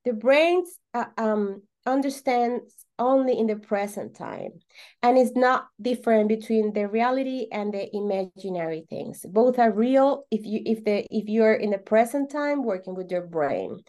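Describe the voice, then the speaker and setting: A woman from Venezuela with a Venezuelan Spanish accent explains things as an interview guest, repeating words for emphasis in halting English. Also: a little high, lively intonation, darkish tone. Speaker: podcast guest, holistic coach.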